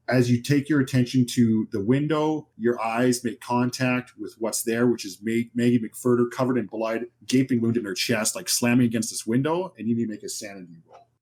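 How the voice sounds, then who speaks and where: Guy game mastering a horror rpg, bit husky, chatty, explains rules as he goes. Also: mid-range voice, a little mellow. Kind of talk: improvised narration of a horror tabletop game.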